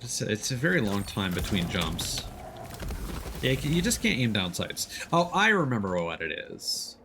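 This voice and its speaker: A man with a fairly low voice talks through a video game for his stream. He speaks casually and a bit hesitantly, working things out aloud, with game noise clearly audible behind him. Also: big pitch swings, unhurried, a bit bright. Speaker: livestreamer, gamer. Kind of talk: casual gameplay commentary. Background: video game sounds.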